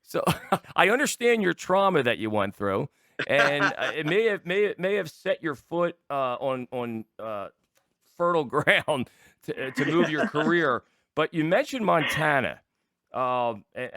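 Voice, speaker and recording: A man's voice: mid-range voice, big pitch swings, normal speed, fairly bright tone, no echo, studio quality. A man hosting a sports talk show in casual interview chat, chatty and often stumbling over and repeating his words.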